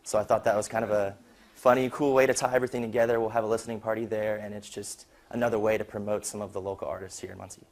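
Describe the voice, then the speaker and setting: A man's voice: on the low side, little pitch movement, racing, background noise. A young man giving an informal student slide presentation in a casual, conversational way. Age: young adult.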